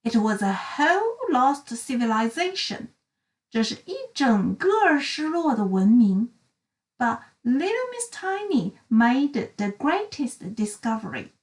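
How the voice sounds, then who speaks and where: A woman narrating a children's storybook in an expressive, dramatic and deliberate storytelling style. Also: fairly high pitch, far from the mic. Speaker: read-aloud storyteller for children.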